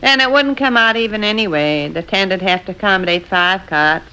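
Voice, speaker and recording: A woman's voice: medium pitch, brisk, sharp tone, clean tone, close to an everyday voice. An actress with an American accent playing a dry, unruffled middle-aged mother in a 1940s radio comedy. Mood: dryly teasing. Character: dry, teasing small-town housewife and mother. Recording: muffled old radio recording.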